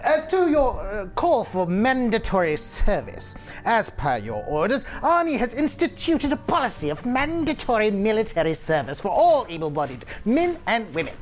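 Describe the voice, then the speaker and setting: A woman's voice: fairly high pitch, voice pushed up. A woman voicing an elderly male gnome courtier, sly and deferential, speaking in formal, fawning courtly phrases for a tabletop fantasy game.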